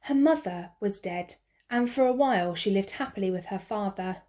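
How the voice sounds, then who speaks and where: Woman teacher, storybook read-aloud, clear expressive delivery, mid-pitched voice. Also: normal speed. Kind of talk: picture-book read-aloud.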